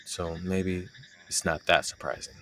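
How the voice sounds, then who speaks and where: A man acts out a young male roommate with a low-pitched voice, bantering in a casual, teasing way. He speaks directly to the listener like a close friend hiding a crush, in a roleplay audio with slight background noise. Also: level tone, measured pace, fairly bright tone. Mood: curious, fond. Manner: teasing, conversational, sarcastic banter.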